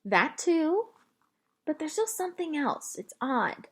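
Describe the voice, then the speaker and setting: A woman reads as a melancholy preacher father in a storybook. He is withdrawn and measured, gently firm when he talks to his child. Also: high voice, sing-song, voice pushed up, exaggerated voice. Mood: pensive, sad.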